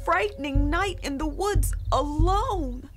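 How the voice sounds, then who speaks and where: A woman playing a kind, softly playful, mysterious fairy-tale lady in a dramatic storybook reading. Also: sits high, slow pace. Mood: gentle, earnest. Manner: soft, gentle, playful. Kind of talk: dramatic storybook character acting. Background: music behind the voice.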